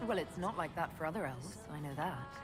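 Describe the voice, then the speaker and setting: Composed, low-voiced elf heroine. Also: female voice, lively intonation, brisk, over music. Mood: curious, wry. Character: composed elf woman hero, wry and diplomatic. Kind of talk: video game character acting.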